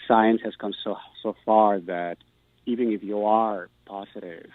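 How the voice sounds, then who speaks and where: A man's voice: fairly low pitch, fairly even intonation, a little slow, dry recording, phone-quality sound. A middle-aged man from Peru, giving earnest, thoughtful interview answers and restarting words as he searches for them. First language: Spanish. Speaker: guest, Spanish professor.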